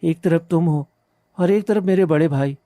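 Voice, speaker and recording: A devoted young village groom in a cartoon tale, acted by a man. He sounds high for a man yet very soft and dark in tone. He speaks earnestly and respectfully, in a dry, close recording. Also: normal speed, no room sound, close to an everyday voice. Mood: torn. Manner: earnest, pleading. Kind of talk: Hindi animated moral story dialogue.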